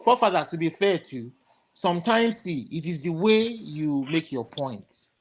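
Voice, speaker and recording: Guy pushing to be heard on an online panel, keeps repeating himself, lively voice, bit of noise. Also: on the high side, normal speed, rough recording. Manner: insistent, repeats words to hold the floor. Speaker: panel participant.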